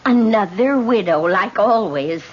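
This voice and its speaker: An actress playing an excitable, morbidly nosy woman in an old-time radio mystery. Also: medium pitch, measured pace, slightly rough. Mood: morbid relish. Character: excitable, morbidly nosy, suggestive female lodger. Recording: muffled noisy old radio broadcast.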